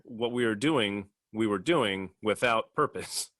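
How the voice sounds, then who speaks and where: Low-voiced man, earnest and halting. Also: fairly bright tone, no room sound. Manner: earnest, halting with repeated phrases. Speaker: podcast panelist. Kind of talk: casual, reflective discussion.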